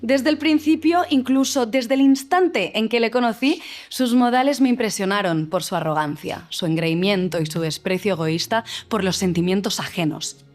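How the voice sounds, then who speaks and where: An actress playing a proud, sharp-tongued young woman who turns down a suitor, speaking in a measured, indignant way, with soft music under her. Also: fairly high pitch, natural voice. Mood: scornful. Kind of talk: audio drama acting.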